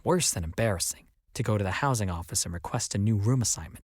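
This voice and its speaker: A man reading as an audiobook narrator, in an expressive scripted storytelling style. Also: fairly low pitch, fast talker, breathy edge, no room sound, studio quality.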